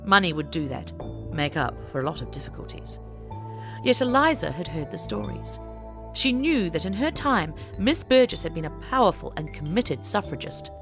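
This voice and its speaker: A woman's voice: deep voice, very animated, moderate pace, music in the background. A woman reading a scripted story aloud as an audiobook narrator.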